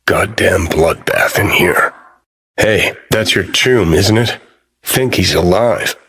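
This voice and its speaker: An actor playing a cynical, bitter, foul-mouthed rebel in a sci-fi video game, with a sardonic, world-weary drawl. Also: male voice, sits low, slow pace, fairly bright tone, husky, heavily acted. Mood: grim. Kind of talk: video game character dialogue.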